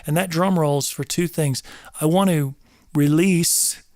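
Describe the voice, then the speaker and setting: A man's voice: on the high side, a little slow, darkish tone, no echo. Earnest rambling man, dry close sound. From North Georgia, US South. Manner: rambling, earnest, repeats words, preacherly asides. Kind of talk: casual livestream talk to viewers.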